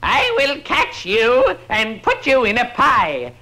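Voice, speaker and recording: A woman's voice: a little high, wildly swooping pitch, slow pace, sharp tone, a little hiss or hum, exaggerated voice, rough recording. A woman playing a grumpy, greedy male farmer on a children's storybook record, blustering and threatening. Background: music.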